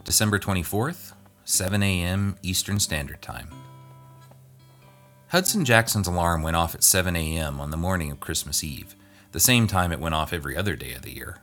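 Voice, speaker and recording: A man's voice: low voice, animated, normal speed, fairly bright tone, soft background music. A man reading a scripted story aloud as narrator. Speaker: guest reader.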